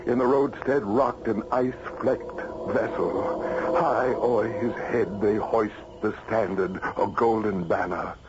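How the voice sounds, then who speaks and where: An actor playing a solemn man who slowly declaims ancient heroic verse in an old radio drama, on a muffled recording. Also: lowish voice, fairly even intonation, background noise. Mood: mournful, grand. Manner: slow, declamatory.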